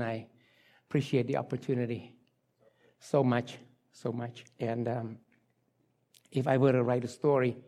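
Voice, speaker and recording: A man in his sixties from Cambodia, speaking English with a Cambodian accent, gives a halting personal testimony to a church congregation, full of fillers and restarts. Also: medium pitch, darkish tone. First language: Khmer. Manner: halting, many fillers and restarts, light self-deprecating laughs.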